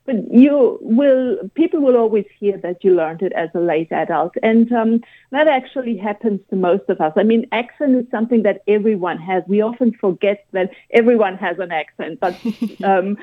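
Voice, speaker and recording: A woman from Germany with a German accent, answering radio interview questions in a thoughtful, explanatory way with frequent pauses and ums. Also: medium pitch. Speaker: guest expert on language learning. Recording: phone-line interview audio.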